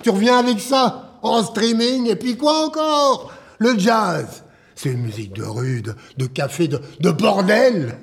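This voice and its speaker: Despairing jazz club guy, kinda high husky voice, sarcastic n dramatic. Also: big pitch swings, exaggerated voice. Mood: exasperated, passionate. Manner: sarcastic, theatrical, halting bursts. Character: bitter, despairing male jazz club associate. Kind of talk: naturalistic drama dialogue.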